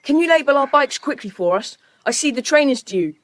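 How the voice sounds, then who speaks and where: An actor playing a confident, polite schoolboy who leads child adventurers in an audiobook drama, brisk and decisive. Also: sits high, expressive, dry recording. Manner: brisk, decisive, well-mannered.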